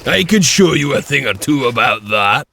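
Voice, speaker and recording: An actor playing a gruff, bold and vengeful wild warrior in a video game, with a gravelly, raspy voice. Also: male voice, mid-range voice, very animated, normal speed, bright, forceful tone, a little hiss or hum, exaggerated voice. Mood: gleeful menace.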